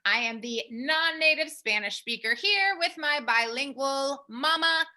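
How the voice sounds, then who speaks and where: High, bright-voiced American woman, emphatic. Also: a little slow. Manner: animated, emphatic, repeats points. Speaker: host, language program founder. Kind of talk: casual advice discussion.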